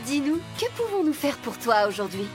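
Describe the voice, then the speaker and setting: A woman's voice: sits high, big pitch swings, fairly quick, music in the background. A woman voicing a cheerful, helpful truck mechanic in a children's cartoon, upbeat and explanatory. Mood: cheerful, instructive.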